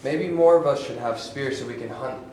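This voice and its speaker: A man's voice: medium pitch, brisk, a little mellow, close to an everyday voice. A man reads a cautious caveman priest who speaks deferentially. His delivery is polite and careful. The sound is roomy and distant, with faint hiss. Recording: echoey room, mic far off.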